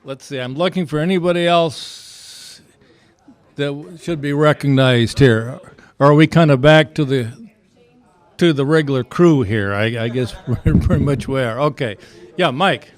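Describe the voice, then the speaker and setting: A man chairing a club meeting with informal announcements, speaking in a halting, folksy way. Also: mid-range voice, no echo.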